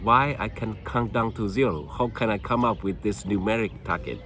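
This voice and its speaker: Measured older man. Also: on the low side, a bit bright, a little hiss or hum. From China. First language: Chinese. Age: 60s. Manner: measured, halting, with frequent restarts. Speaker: guest, medical doctor. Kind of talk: reflective podcast conversation.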